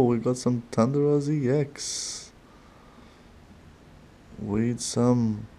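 A man with a non-native English accent talks slowly in a low, mellow voice while he opens card packs at his desk. His speech is halting and chatty, with restarts and little laughs, and a faint room hum sits behind him. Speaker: card-opening channel host. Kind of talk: casual card-opening commentary.

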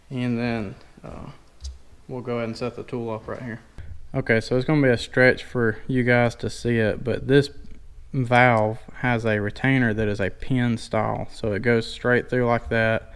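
Man, mid-range voice, flat intonation, informal wording, DIY mechanic, casual how-to narration. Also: medium pitch. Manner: plainspoken, informal, folksy wording. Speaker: DIY mechanic demonstrating a tool. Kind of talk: casual hands-on tutorial narration. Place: indoor garage workshop.

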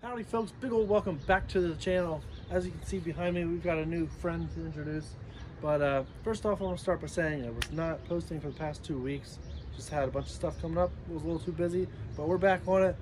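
A man's voice: on the high side, quick pace. A man in his thirties with an American accent, talking casually and in a folksy way as a vlog host, outdoors on a handheld camera. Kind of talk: casual vlog tour talk. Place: outdoors in a residential yard. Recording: noisy outdoor action-camera audio.

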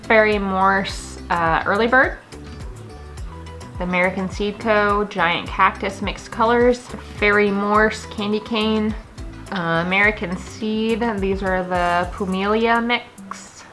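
A woman's voice: medium pitch, steady tone, slow pace, sharp tone, soft background music. A woman in her thirties chatting casually and enthusiastically to camera as a gardening vlogger in her kitchen. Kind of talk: casual chat to camera, showing seed packets. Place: home kitchen, indoors.

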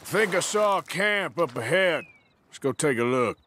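An actor playing a gruff, cynical Wild West gunslinger in a video game, with an American Southern drawl. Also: male voice, fairly high pitch, animated, moderate pace, bright, forceful tone, slight background noise. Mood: guarded. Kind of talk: naturalistic video game acting.

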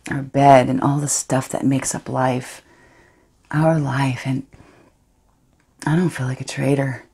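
A woman's voice: rumbling low, flat delivery, slow, dark tone, slightly rough, heavily acted. A woman playing a defiant, unrepentant young woman. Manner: intimate, dropping to whispers. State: has a cold. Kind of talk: audition monologue.

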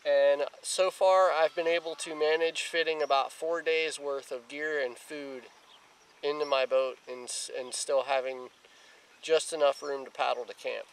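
A man's voice: on the high side, steady tone, a little slow, rough recording. A man in his thirties talking casually and plainly, explaining things step by step for an outdoor vlog, recorded outside on a camera a few feet away. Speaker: outdoor vlogger. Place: outdoors, grassy yard and lakeside. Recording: outdoor camera with clear background noise.

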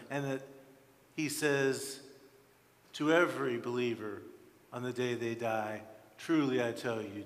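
A man in his sixties preaching a sermon as a pastor, with deliberate, emphatic phrasing and frequent pauses, in a church. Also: mid-range voice, fairly bright tone. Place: large church sanctuary, at the pulpit. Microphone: headset microphone. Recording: reverberant church hall.

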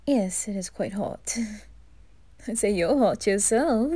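Woman playing a campy flirt of a male reaper, slow, giggly, warm-toned. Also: mid-range voice, expressive, no echo. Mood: flirty. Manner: teasing, giggling, breathy whispers. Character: flamboyant flirtatious male reaper posing as butler. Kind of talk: ASMR roleplay.

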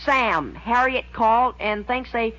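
An actor playing an eager, bossy teenage boy in 1940s radio comedy, excitable, on a scratchy old recording. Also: extremely high pitch, a little slow, highs cut off, heavily acted. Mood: bossy, impatient.

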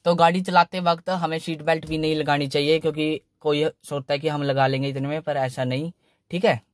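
A young man talks in a slightly high voice, and the sound is close and dry inside a small car. He chats loosely and circles back on his points, adding "theek hai" after nearly every sentence, recorded on a phone. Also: flat delivery, brisk, dry recording. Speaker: car channel host. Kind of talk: casual how-to talk in a parked car.

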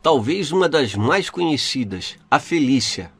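Polite Brazilian man, higher-pitched, interviewing. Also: sing-song, measured pace, a bit bright, husky, dry recording. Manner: formal, respectful. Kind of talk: polite prepared interview questions.

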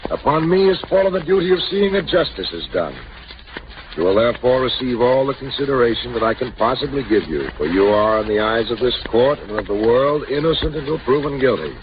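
An actor playing a solemn, pompous district attorney in a theatrical 1930s radio melodrama. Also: male voice, medium pitch, lightly acted. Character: grave, dramatic district attorney cross-examining a witness. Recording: noisy, dull 1930s radio recording.

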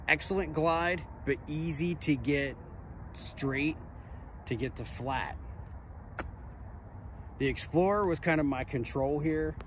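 A man in his forties giving a relaxed, plain-spoken disc golf lesson outdoors in an open field, recorded on a nearby camera. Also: fairly high pitch, background noise. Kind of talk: outdoor instructional talk.

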